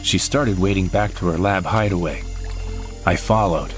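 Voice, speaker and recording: A man voicing a male sidekick who narrates his own space adventure in a measured storytelling way. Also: deep voice, natural voice. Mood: wry. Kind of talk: scripted audio drama narration. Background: background music.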